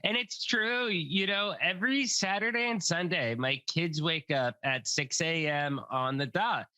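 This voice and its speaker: A man's voice: fairly high pitch, unhurried, pushed and bright, dry recording. A middle-aged man hosting a podcast, upbeat and jokey, chatting casually with a guest. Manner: upbeat, jokey, repeats himself. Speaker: podcast host. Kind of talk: casual interview chat.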